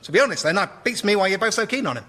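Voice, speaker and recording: A very high-voiced king in a radio comedy sketch, forever pleased with his own wisdom. He argues defensively and pompously, like someone who cannot bear being doubted. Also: sing-song, very fast, very noisy. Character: petulant, self-satisfied king playing at being wise.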